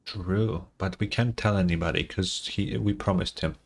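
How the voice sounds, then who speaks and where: A man's voice: low voice, normal speed. A man doing casual gaming livestream commentary in a sarcastic, mock-exasperated way, swearing freely. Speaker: streamer.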